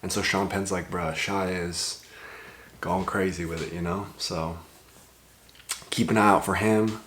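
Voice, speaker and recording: A man with a deep voice gives casual commentary as a reaction host. His talk is loose and slangy. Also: fairly even intonation, a little slow, fairly bright tone, a little hiss or hum.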